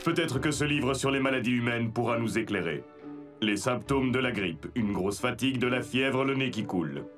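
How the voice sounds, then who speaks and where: A man voicing an earnest, by-the-book rescue robot in a French cartoon dub, formal and gravelly. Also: medium pitch, lively intonation, moderate pace, fairly bright tone, far from the mic, natural voice. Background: music.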